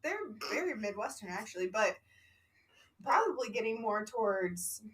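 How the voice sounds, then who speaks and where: A woman's voice: medium pitch, sing-song, moderate pace, fairly bright tone, slightly rough. A woman co-hosting a podcast, chatting casually with quippy, teasing remarks. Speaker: podcast co-host. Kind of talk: casual chat.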